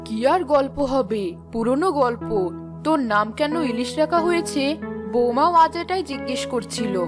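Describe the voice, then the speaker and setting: A woman playing a kindly older mother-in-law who gently chides and advises in a Bengali cartoon. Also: sits high, lively intonation, normal speed, over music. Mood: fond, chatty.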